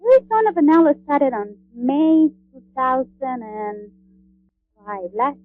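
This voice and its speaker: A woman from Honduras, a guest on a radio interview, speaking in a friendly, halting way with many fillers. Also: high voice, animated, no echo, narrow, tinny audio. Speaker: guest, cookbook author.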